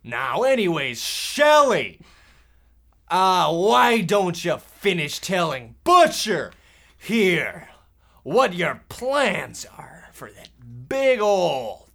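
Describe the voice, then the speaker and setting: A man's voice: sits high, wildly swooping pitch, drawn-out words, gravelly, fairly bright tone, heavily acted. A man playing a pompous, resentful twelve-year-old bully in a stagy parody of an old radio drama. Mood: pompous, taunting.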